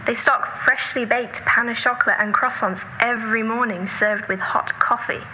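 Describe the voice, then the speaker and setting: A woman playing a bossy, quick-witted caller in an audio drama, brisk and teasing over a phone. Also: on the high side, fairly even intonation, sharp tone, highs cut off, a little hiss or hum.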